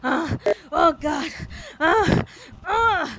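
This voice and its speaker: A woman's voice: very high voice, expressive, very slow, pushed and bright, voice pushed up, heavily acted. A woman playing a terrified, gut-shot robber who groans, gasps and swears in an amateur audio drama. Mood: groaning pain. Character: gut-shot, terrified, pleading wounded robber, moaning in agony.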